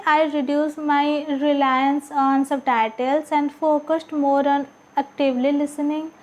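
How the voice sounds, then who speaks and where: A woman's voice: sits high, darkish tone, rough recording. A woman who speaks English as a learned language, giving a scripted monologue as a video creator. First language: not English. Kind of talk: scripted monologue, read aloud.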